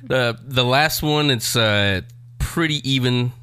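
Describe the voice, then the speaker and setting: A man's voice: mid-range voice, takes it slowly, pushed and bright, clear, resonant tone. A man hosting a casual interview show, chatting in a joking, wisecracking way.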